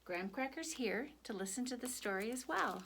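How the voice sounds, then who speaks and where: A woman narrating a picture book for children at storytime, deliberate and expressive, pausing between phrases. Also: a little high, vocal fry, background noise.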